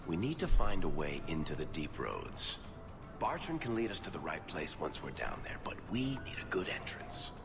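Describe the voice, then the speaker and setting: A man's voice: a bass voice, sing-song, moderate pace, over music, exaggerated voice. An actor playing a scheming male adventurer in a video game, confiding and matter-of-fact.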